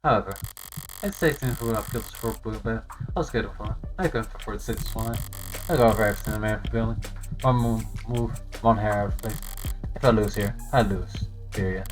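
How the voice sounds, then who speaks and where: An actor voicing a cool, guarded professional lawyer in a video game, dryly and tersely, in measured lines. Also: mid-range voice, lightly acted, low-quality recording. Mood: brooding, cold. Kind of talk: voiced visual novel, inner monologue and dialogue. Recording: game audio with music behind. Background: music.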